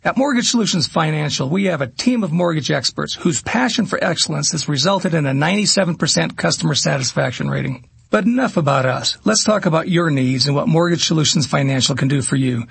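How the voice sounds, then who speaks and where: Very gravelly man reading an ad. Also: fairly high pitch, lively intonation, fairly quick, a bit bright, no room sound. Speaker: business owner in his own ad. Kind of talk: scripted radio ad read.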